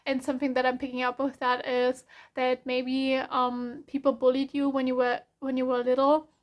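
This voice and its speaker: This is a young woman with a German accent talking through a tarot reading in English. Her voice sits high and stays fairly even in pitch. She rambles softly, full of fillers and restarts, as she talks to her viewers. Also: moderate pace. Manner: soft, rambling, frequent self-corrections and fillers. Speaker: tarot reader. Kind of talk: casual spoken tarot reading.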